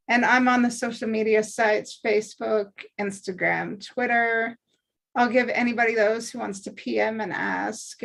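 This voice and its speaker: A woman on a video call, talking eagerly and chattily about her acting and writing. Her voice sits slightly high. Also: vocal fry, fairly bright tone. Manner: chatty, eager, self-promoting. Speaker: actor and writer. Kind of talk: casual chat.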